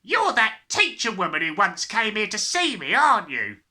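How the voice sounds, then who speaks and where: Loud, high, impatient father. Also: huge pitch swings, exaggerated voice. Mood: brusque. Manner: loud, hurried, dismissive. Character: brusque, impatient, uncaring father in a hurry.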